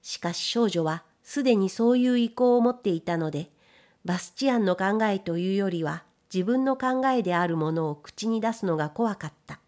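Female narrator, medium pitch, for scripted literary audiobook; measured storytelling delivery. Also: animated, a little mellow.

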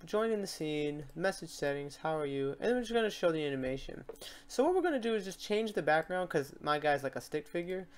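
A software tutorial host with a voice of middling pitch. The delivery is casual and unscripted, explaining each step while working and thinking aloud along the way. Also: very animated, fairly quick.

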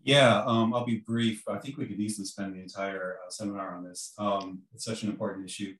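A man's voice: fairly low pitch, fairly even intonation, brisk, fairly bright tone, breathy edge. A man archivist on a video call panel, speaking briefly and practically with frequent fillers.

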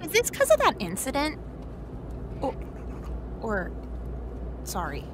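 A woman's voice: sits high, wildly swooping pitch, slow, noisy background, heavily acted. A woman playing a worried, blunt schoolgirl in a naturalistic audio drama, with an awkward, stammering way of talking. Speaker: actor in a scripted audio drama.

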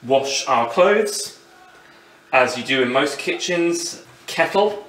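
A man's voice: medium pitch, animated, slow, a bit bright. A young man co-hosting a house tour, trading dry absurd jokes with many ums. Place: small rented house, indoors. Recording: echoey rooms with faint noise.

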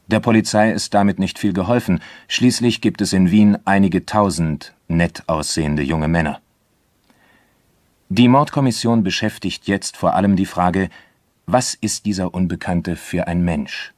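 A crime case narrator with a deep voice, recorded close and dry. He delivers scripted documentary narration for a radio play, sober, formal and measured. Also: a little mellow, no room sound.

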